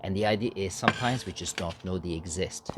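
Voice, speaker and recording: A man giving a storytelling speech as an amateur speaker, halting, with dramatic pauses and emphasis. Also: deep voice, fairly bright tone, a little hiss or hum. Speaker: amateur public speaker practicing a contest speech. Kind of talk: animated storytelling speech, tall tale.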